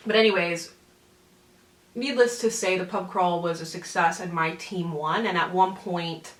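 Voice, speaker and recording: A woman's voice: medium pitch, a bit bright. A young woman from Newfoundland, Canada, chatting casually and self-deprecatingly as she hosts a get-ready-with-me beauty vlog. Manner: informal, self-deprecating, giggly asides. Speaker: beauty vlogger, host. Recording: roomy echo, distant mic.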